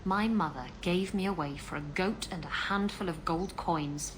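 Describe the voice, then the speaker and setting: A woman's voice: fairly low pitch, moderate pace, background noise, natural voice. A woman playing a worldly, guarded adventuress in a video game, dry, wry and flippant. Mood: wry, bitter.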